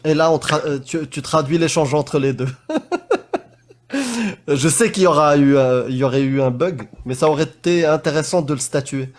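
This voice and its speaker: A man's voice: on the high side, fairly even intonation, moderate pace, slight background noise. A man on a live online voice call giving feedback on a debate, in casual chat full of fillers, restarts and self-corrections. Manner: hesitant, many fillers and restarts. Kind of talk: casual live-stream discussion.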